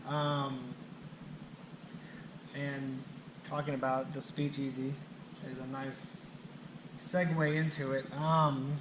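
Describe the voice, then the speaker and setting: Male panel moderator, relaxed and filler-heavy, recorded in a noisy echoey room. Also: medium pitch, slow, pauses often. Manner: relaxed, uses many fillers. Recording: echoey hall with background noise.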